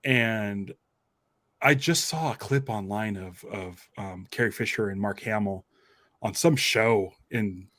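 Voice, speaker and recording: A man's voice: fairly low pitch, takes it slowly, fairly bright tone, dry recording. A man in a casual fan podcast chat, enthusiastic and thinking aloud in rambling fragments. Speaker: podcast host.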